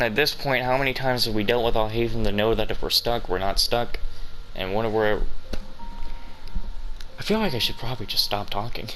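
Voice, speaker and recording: A man's voice: mid-range voice, fairly bright tone, poor audio. A man giving casual, joking, rambling commentary over a video game playthrough. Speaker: gaming streamer. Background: game music.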